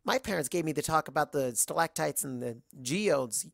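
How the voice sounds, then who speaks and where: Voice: mid-range voice, expressive, normal speed, vocal fry, no room sound, clean studio sound. A narrator voicing a bubbly, scatterbrained young mare pony with a bouncy, chirpy, oblivious manner. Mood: earnest, flighty.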